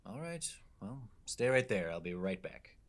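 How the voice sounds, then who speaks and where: A man's voice: fairly low pitch, big pitch swings, measured pace. A man playing nervous bank clerk ex-boyfriend. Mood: awkward.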